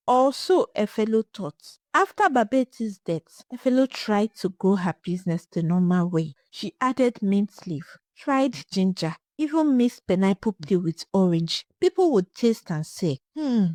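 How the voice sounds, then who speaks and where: A woman narrating a scripted folktale in a dramatic, suspenseful way, with short measured phrases. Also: mid-range voice, lively intonation, darkish tone, no room sound. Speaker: storyteller.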